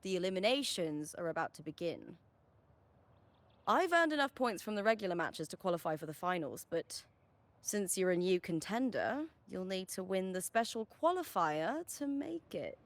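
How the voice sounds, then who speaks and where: A woman's voice: medium pitch, very animated, moderate pace, no room sound. A woman voicing a confident, spirited young gladiator and friendly battle partner in a video game. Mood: confident, explaining.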